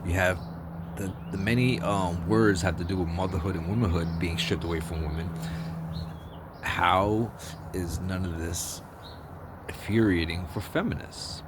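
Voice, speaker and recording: Man vlogger, very low bass voice, blunt and profane, rambles and restarts, street traffic noise behind. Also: fairly even intonation, a little slow. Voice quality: deep bass voice. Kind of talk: casual opinion monologue. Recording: outdoor street noise with passing vehicles.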